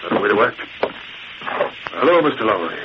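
An actor playing a smug, menacing gang boss in 1940s radio style, falsely jovial with oily mock innocence. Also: male voice, on the low side, big pitch swings, brisk. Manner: oily mock innocence, tough gangster slang. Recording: noisy muffled old radio recording.

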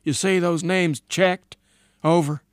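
A man voicing an earnest, weary army major giving halting, deliberate radio messages in an audiobook story. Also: high-pitched, fairly even intonation, clear, resonant tone, no room sound, studio quality, voice pushed up. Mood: insistent.

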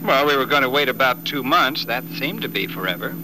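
An actor playing a happy, lovestruck young fiancé with boyish, joking banter in a 1940s-style radio soap. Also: male voice, mid-range voice, lively intonation, a little fast, natural voice. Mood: playful, fond. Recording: noisy old radio drama recording.

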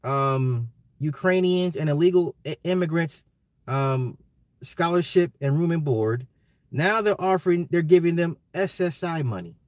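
American male livestream host, fairly high voice, close dry sound, emphatic and slangy, slightly hoarse. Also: measured pace, no room sound. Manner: emphatic, repeats phrases, slangy, swears, chuckles. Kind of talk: casual opinionated livestream rant.

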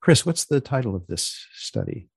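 A man hosting a podcast interview, with a deliberate, deadpan way of asking and presenting. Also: medium pitch, vocal fry, slightly rough, no room sound, studio quality. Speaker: podcast host and magazine editor. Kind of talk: podcast interview with scripted introduction.

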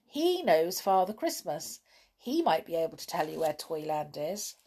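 A woman's voice: deep voice, sing-song, normal speed, darkish tone, husky, exaggerated voice. A woman voicing a large, curious, helpful male rabbit in a children's storybook. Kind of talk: children's storybook read aloud.

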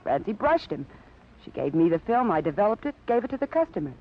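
An actor playing a talkative, lively witness in an old radio crime drama. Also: male voice, high voice, fast talker, full character voice. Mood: breezy, forthcoming. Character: talkative, lively witness recounting a photo sale. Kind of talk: 1940s-50s radio drama acting. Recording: muffled old radio recording.

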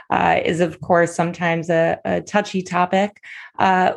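A woman moderating a panel discussion who asks questions in a polite, measured way. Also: lowish voice, gravelly, a little mellow.

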